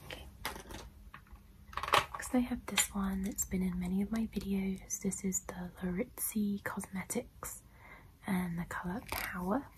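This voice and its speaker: A young woman whispering softly and gently in a casual, unhurried ASMR style as she presents things close to the mic. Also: mid-range voice, noisy background. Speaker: ASMR video host. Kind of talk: soft-spoken whispered ASMR product show-and-tell.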